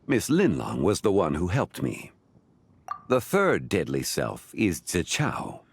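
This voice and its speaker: A man playing a calm, learned ancient sage in a fantasy video game, speaking formally and deliberately in measured phrases. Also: slightly rough, heavily acted. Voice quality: deep, measured. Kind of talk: scripted video game dialogue.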